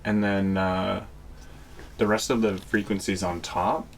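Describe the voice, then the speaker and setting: A man's voice: low voice, little pitch movement, unhurried, gravelly, slight background noise. A young man hosting a podcast, giving rambling, casual explanations and often searching for words. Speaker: podcast host. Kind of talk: casual chat.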